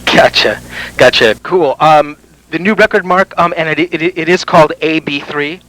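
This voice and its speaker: A man's voice: on the high side, moderate pace, a bit bright, no room sound, rough recording. A man interviewing a musician, asking questions hesitantly with many fillers.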